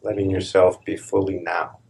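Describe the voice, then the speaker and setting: A man leading a live guided meditation, speaking calmly and deliberately in short phrases with long pauses between them. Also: sits low, vocal fry, breathy edge. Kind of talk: live guided meditation, slow hushed instruction.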